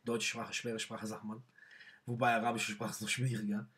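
A man's voice: on the low side, flat delivery, moderate pace, a bit bright. A young man whose first language is not German, presenting his own book in a casual talk to camera from a small bedroom, hesitant with many fillers and restarts.

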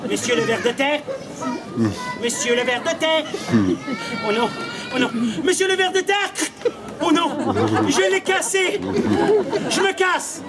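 A man voicing an earnest, excitable little male insect in a puppet show, wide-eyed and melodramatic. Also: extremely high pitch, a little slow, pauses often, over a noisy crowd, voice pushed up. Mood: distraught. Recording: outdoor camera recording with audience noise.

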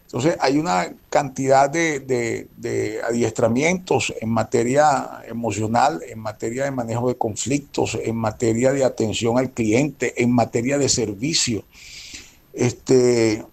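Man, Venezuelan accent, gravelly voice, earnest, emphatic repetition, interview guest. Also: mid-range voice, measured pace, a little mellow. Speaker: guest, security company manager. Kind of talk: radio interview answer.

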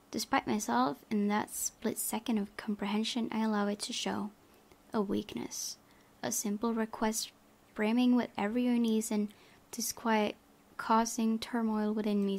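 A woman's voice: mid-range voice, vocal fry, a little mellow. A young woman hosting a let's play, reading visual novel narration aloud expressively.

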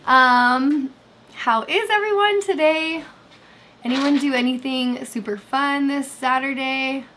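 Slightly hoarse woman chatting on livestream. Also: high-pitched, slow, bright, forceful tone, a little hiss or hum. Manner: chatty, reads chat aloud, many fillers. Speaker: livestream host. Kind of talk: casual livestream chat. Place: bedroom, sitting in bed. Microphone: webcam framing.

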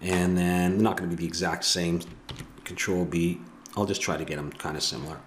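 A man's voice: low voice, fairly even intonation, brisk, slight background noise. A middle-aged man with an Ottawa Valley Canadian accent, thinking aloud as he works through a 3D modeling tutorial at his desk and correcting himself as he goes. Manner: musing, self-correcting, talks to himself. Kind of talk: thinking aloud while working. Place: home room at a desk.

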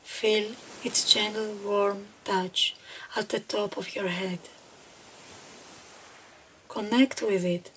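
Slow-paced woman guiding a meditation. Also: mid-range voice. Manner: gentle, unhurried, deliberate phrasing with pauses. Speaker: meditation guide. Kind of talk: guided meditation narration. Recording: clearly noisy, ambient background sound.

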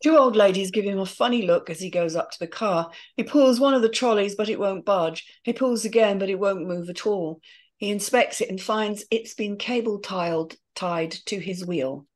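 A woman's voice: mid-range voice, moderate pace. A woman steadily reading the scene directions of a screenplay in a matter-of-fact way. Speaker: narrator reading stage directions.

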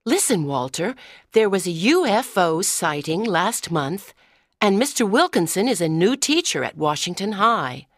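Woman as curious teenage schoolgirl, excitable, imaginative, lively swinging intonation, dry recording, story dialogue. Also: medium pitch, measured pace, dry recording. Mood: excited, suspicious. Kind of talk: audiobook dialogue.

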